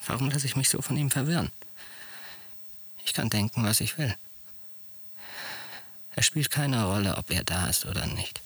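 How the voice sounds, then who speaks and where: An actor playing a tense, defiant psychiatric patient in a radio drama, murmuring his brooding thoughts to himself in a hoarse half-whisper. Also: medium pitch, lively intonation, a bit bright. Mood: brooding defiance. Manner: inward, halting, brooding monologue. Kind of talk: radio drama inner monologue.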